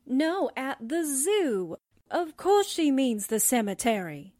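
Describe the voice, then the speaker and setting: A high, gushing newspaperwoman sidekick played by a woman from a forties radio play. She is sassy, excitable and dramatic, recorded dry and close. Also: takes it slowly, no echo, heavily acted. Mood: sarcastic. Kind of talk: 1940s radio drama acting.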